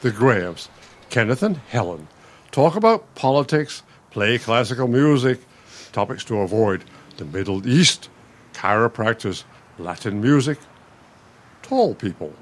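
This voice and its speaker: Animated, grumpy older American husband played by an actor. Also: male voice, medium pitch, measured pace. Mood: wry. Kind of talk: radio play acting.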